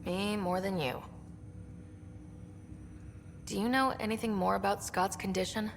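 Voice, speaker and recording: A woman's voice: medium pitch, fairly even intonation, a little slow, vocal fry, slight background noise. A woman playing a young, curious space explorer in a video game, talking casually with dry, teasing humour. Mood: wry, curious. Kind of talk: scripted video game dialogue.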